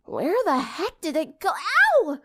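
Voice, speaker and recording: A woman's voice: high voice, animated, a little slow, in a large hall, pitched above the performer's own voice. A woman voicing a feisty, sarcastic, easily startled teenage girl in an audiobook, with an exclamatory, sardonic manner. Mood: angry, frantic. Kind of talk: audiobook character dialogue.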